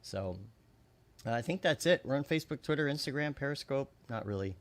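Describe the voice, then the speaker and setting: A man's voice: medium pitch, expressive, fairly quick. A man co-hosting a podcast, chatting casually and thinking aloud as he talks. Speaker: podcast co-host. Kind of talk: casual chat.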